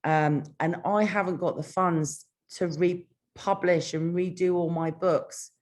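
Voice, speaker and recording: A woman's voice: fairly low pitch, fairly even intonation, takes it slowly, a little mellow. A British woman, an author, who reads fiction aloud and chats casually about it. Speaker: author and show host, guest. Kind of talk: casual chat and reading own fiction aloud.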